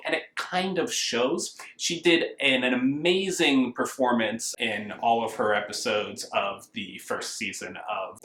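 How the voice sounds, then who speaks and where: An American man talks through a review in a casual, conversational style. His voice sits at an ordinary male pitch, and he is measured, tending to soften his opinions. The room around him is clearly echoey. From United States. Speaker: book reviewer. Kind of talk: casual review talk to camera. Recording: roomy, echoing indoor sound.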